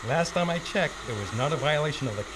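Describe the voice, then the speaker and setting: A man's voice: on the high side, lively intonation, fast, noisy background, lightly acted. A middle-aged man playing a dismissive, overworked police detective in a 1940s-style radio drama, brusque and matter-of-fact. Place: live on a stage before a curtain. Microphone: stand microphone.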